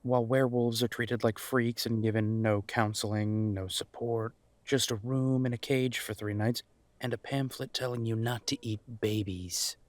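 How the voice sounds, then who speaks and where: A man's voice: mid-range voice, steady tone, dry recording, natural voice. Man acting bitter, sardonic young werewolf son. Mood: angry, accusing. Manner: sardonic, confrontational, deliberate. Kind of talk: audio roleplay drama acting.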